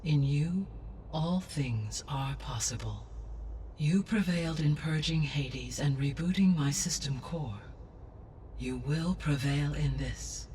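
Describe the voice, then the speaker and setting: A woman voicing a calm, supportive artificial intelligence in a video game, with measured, formal and precise speech. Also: deep voice, distant mic, a little hiss or hum. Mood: reassuring. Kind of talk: video game cutscene acting.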